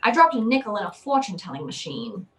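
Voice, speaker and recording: A woman's voice: medium pitch, big pitch swings, fast, fairly bright tone. A woman playing a cynical, wry private eye, curt and hardboiled, in an old-time radio noir drama. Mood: wry narrating.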